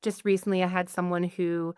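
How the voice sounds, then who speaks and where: A woman's voice: lowish voice, little pitch movement, a little fast, darkish tone, clean tone, no echo, studio quality. A woman guest on a podcast, talking casually and chattily with many "like"s and "you know"s. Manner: chatty, lots of likes and you-knows. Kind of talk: casual interview chat.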